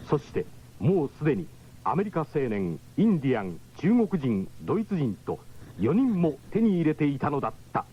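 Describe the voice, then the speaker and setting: A man's voice: fairly high pitch, wildly swooping pitch, moderate pace, slightly rough. A man giving dramatic scripted narration for a radio drama. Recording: dull, faintly noisy old radio recording.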